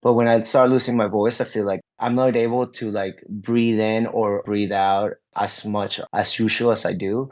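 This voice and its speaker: A man's voice: fairly low pitch, flat delivery, moderate pace, hardly any pauses, darkish tone. A young adult man, a guest musician, answering interview questions openly in a rambling way, full of "like" fillers and restarts.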